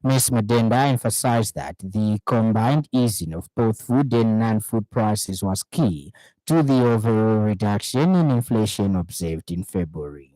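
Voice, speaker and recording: Low-voiced radio newsreader, man. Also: a little slow, no echo, poor audio. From Zambia. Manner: steady formal newsreading, lists read item by item. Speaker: news anchor. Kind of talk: scripted radio news bulletin. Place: radio studio.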